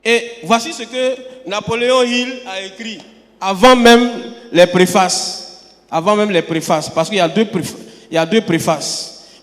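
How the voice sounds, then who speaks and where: A man from Côte d'Ivoire, preaching an emphatic sermon and often repeating words as he restarts. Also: high-pitched. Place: church sanctuary. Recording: long hall reverb.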